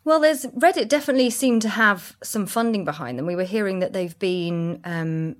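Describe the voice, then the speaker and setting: Woman, podcast presenter, medium pitch, chatty and explanatory in casual panel talk. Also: moderate pace.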